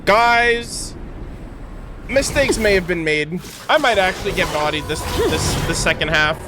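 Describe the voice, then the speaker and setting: A man doing excited live gameplay commentary for his chat, exclaiming and swearing often in gamer slang. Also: high-pitched, a little slow. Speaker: game streamer talking to chat. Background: video game music and combat sounds.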